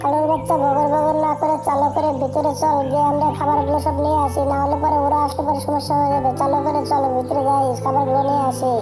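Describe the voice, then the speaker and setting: Performer as a cartoon bird, high-pitched, quick, dramatic, background music. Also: completely flat delivery, close to an everyday voice. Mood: scheming. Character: cartoon birds: scolding mother, scheming aunt, pleading children. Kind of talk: Bengali cartoon story dialogue. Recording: music behind the voice.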